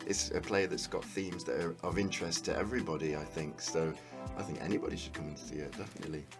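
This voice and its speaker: A man's voice: sits low, steady tone, quick pace, soft background music. A man, an actor, giving hesitant, understated answers in a casual interview. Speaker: actor being interviewed as cast member.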